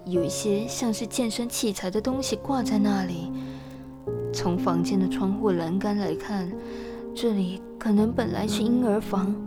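A woman's voice: medium pitch, moderate pace, lightly acted. Woman playing an anxious, melancholy young wife, music behind. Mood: uneasy, dizzy. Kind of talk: audio drama acting.

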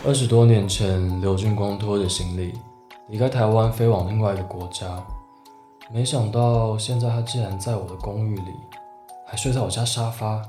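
An actor playing a sensitive, unsettled man around forty in a radio drama, speaking soft, introspective Taiwanese Mandarin. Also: fairly low pitch, steady tone, normal speed, natural voice. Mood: wistful, subdued. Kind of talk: radio drama narration and dialogue. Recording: roomy echo, music behind.

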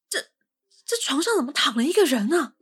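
Excitable, impatient young woman, acted by a woman, high-pitched. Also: unhurried, a little hiss or hum, full character voice. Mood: alarmed. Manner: brash, expressive, colloquial. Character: excitable, impatient young female amateur sleuth. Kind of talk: audio drama acting.

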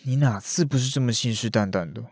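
A man's voice: medium pitch, fast, darkish tone, breathy edge. An actor playing a reserved, teasing young male design student in an audio drama, with a Taiwanese accent. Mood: dry, teasing. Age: young adult. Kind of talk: audio drama acting with narration.

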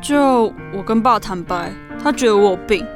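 A woman's voice: medium pitch, lively intonation, moderate pace, music in the background, close to an everyday voice. A young woman playing a sensitive, self-doubting younger sister in an audio drama, plaintive and confiding. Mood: hurt, guilty. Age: young adult.

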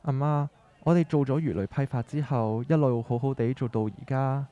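An earnest young son in a radio drama, acted by a man, speaks Hong Kong Cantonese with a mellow, relaxed tone. He is determined and persuasive, pitched at an ordinary male height. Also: moderate pace, highs cut off, close to an everyday voice. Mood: hopeful, earnest. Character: earnest, determined young adult son of fish-market family. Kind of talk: scripted radio drama dialogue.

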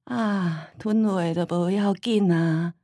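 A woman playing a forgetful, homely old grandmother in a radio drama, rambling and sighing with a Taiwanese accent and drawn-out sentence endings. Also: on the low side, steady tone, warm tone, no echo, clean studio sound. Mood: wistful, rambling.

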